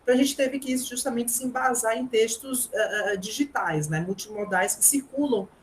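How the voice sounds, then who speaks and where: A woman's voice: a little high, normal speed, a little hiss or hum. A middle-aged woman with a Brazilian Portuguese accent, a language teacher answering interview questions earnestly, with frequent tag questions and small restarts. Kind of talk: explanatory interview talk.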